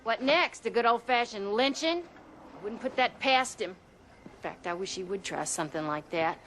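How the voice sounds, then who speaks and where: A woman's voice: on the high side, expressive, normal speed, a bit bright, a little hiss or hum. An actress playing a defiant Southern mother in a film drama, outspoken and sardonic, with a Southern US accent. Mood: furious. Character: fiery, defiant Southern mother with biting sarcasm.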